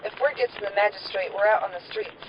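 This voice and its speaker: A woman's voice: mid-range voice, fast talker, close to an everyday voice. A woman playing a scheming, manipulative wife in a radio melodrama, with a theatrical, persuasive way of talking. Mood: coaxing, conspiratorial. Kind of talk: old-time radio melodrama acting. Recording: muffled, noisy background.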